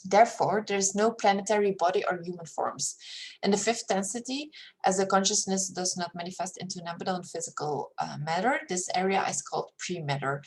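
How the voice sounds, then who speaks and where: A woman's voice: on the low side, fairly quick. Flemish-accented woman reading aloud. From Belgium. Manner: careful, steady reading with hesitant fillers when speaking freely. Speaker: reader of a paper. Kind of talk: reading aloud a spiritual paper. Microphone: screen-share recording.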